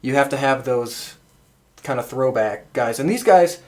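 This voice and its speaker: A man's voice: mid-range voice, animated, measured pace. Informal man chatting casually. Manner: informal, conversational. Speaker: podcast co-host. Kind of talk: casual chat.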